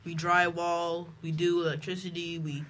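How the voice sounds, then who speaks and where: A twelve-year-old boy answering interview questions casually, haltingly and earnestly rephrasing as he goes. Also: a little high, level tone, fairly bright tone. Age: twelve years old. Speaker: homeschooled student guest.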